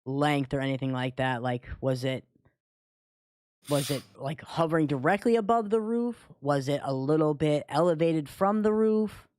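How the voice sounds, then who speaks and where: A man's voice: on the high side, normal speed, darkish tone, dry recording, clean studio sound. A man on a panel asking casual, analytical questions, often rewording them mid-sentence.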